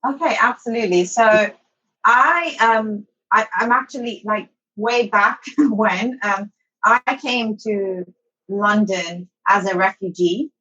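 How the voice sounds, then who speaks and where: A woman's voice: mid-range voice, slow pace, bright, forceful tone, husky, lo-fi sound. A woman from Somalia, an English teacher, telling her life story as an interview guest, hesitant with many ums and restarts.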